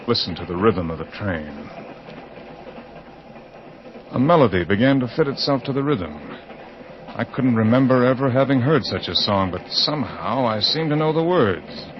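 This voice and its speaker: An American actor in an old-time radio drama, playing a bewildered young mystery writer who narrates in a hesitant, brooding way. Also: male voice, lowish voice, noisy background, natural voice. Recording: dull old radio recording, music behind.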